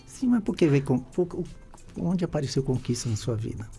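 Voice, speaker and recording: A man hosts a radio podcast interview. His voice is mellow and dark, with a gravelly creak, at a middling pitch. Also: big pitch swings, a little fast, faint music behind. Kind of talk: interview hosting.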